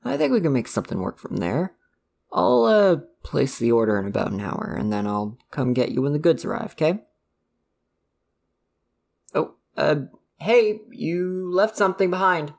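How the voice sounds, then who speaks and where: A performer plays a caring dad with a mid-pitched, gravelly voice that often breaks into fry. He talks in a halting, stammering way, nervous and jokey yet devoted to his kid. It is a one-sided conversational ASMR roleplay. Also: sing-song, a little mellow. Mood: casual, teasing.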